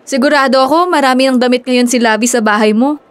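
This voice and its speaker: A woman's voice: sits high, fast, clean tone, natural voice. A woman playing a practical, teasing young best friend in a radio drama, with a casual, questioning way of talking. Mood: incredulous. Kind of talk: Filipino radio drama acting.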